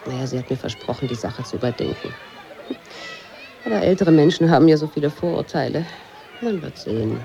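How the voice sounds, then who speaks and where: Dismissive teacher, mellow voice. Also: female voice, sits low, lively intonation, a little fast, gravelly, pauses often, a faint crowd, a little hiss or hum. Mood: confiding, matter-of-fact. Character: dismissive, self-assured schoolteacher playing down bullying. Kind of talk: radio drama acting.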